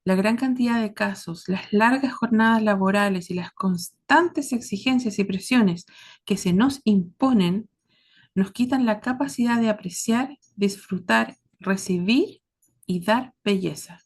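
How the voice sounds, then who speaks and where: A woman with a Chilean accent giving a reflective monologue to her listeners, speaking earnestly and deliberately in short, paused phrases. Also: mid-range voice. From southern Chile. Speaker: host of a series about caregiving.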